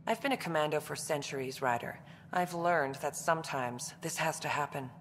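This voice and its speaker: An actress playing a centuries-old alien commando in a video game, brooding, resolute and controlled. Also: female voice, deep voice, moderate pace, lightly acted. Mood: stoic, brooding. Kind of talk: dramatic video game acting. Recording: long reverb, faint background noise.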